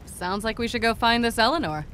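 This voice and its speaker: A woman's voice: a little high, animated, fairly quick, background noise, lightly acted. A woman playing a sardonic adult tabletop gamer, conversational and dryly questioning. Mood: wry.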